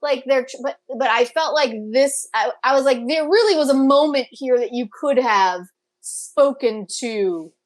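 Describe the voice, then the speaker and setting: A woman's voice: high voice, moderate pace. A woman co-hosting a casual, opinionated review chat, gushing and emphatic, often repeating phrases for emphasis. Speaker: co-host of a drama review show.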